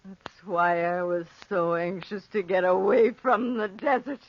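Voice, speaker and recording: A woman's voice: medium pitch, steady tone, measured pace, close to an everyday voice. An actress playing a frightened, obsessive dying woman in a 1940s radio drama, with breathless, melodramatic intensity. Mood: fearful, fading. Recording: dull, muffled 1940s radio recording.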